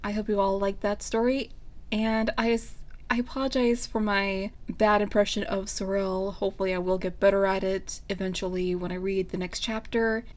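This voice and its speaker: A woman's voice: medium pitch, flat delivery, normal speed. A woman hosting a fan fiction channel, reading out a story as its narrator and talking to listeners. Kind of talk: scripted story narration, casual sign-off.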